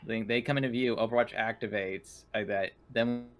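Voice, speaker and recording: Low-pitched man in casual gaming chat. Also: fairly even intonation, normal speed, a bit bright. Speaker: tabletop RPG player. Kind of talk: casual rules discussion.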